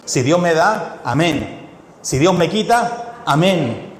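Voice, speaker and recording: A male pastor with a blend of Ecuadorian, Chilean and Mexican Spanish accents, preaching a sermon with rhetorical questions that builds to fervent shouts. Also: fairly high pitch, expressive, slow pace, a little hiss or hum. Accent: mixed Ecuadorian, Chilean and Mexican Spanish. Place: church hall. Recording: echoey hall with long reverb.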